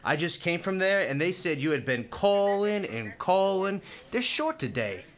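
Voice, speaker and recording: Cocky young New Yorker, swoopy pitch. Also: male voice, big pitch swings, measured pace, fairly bright tone, exaggerated voice. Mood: casual, cocky. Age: young adult. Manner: slangy, cocky, informal. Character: brash, streetwise, cheeky young male hospital volunteer. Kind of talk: radio play acting.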